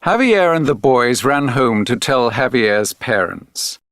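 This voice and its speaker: A man reading a children's read-along story as a narrator, deliberate and expressive. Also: medium pitch, no room sound, clean studio sound. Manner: deliberate, clearly phrased, expressive reading for learners. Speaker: textbook audio narrator.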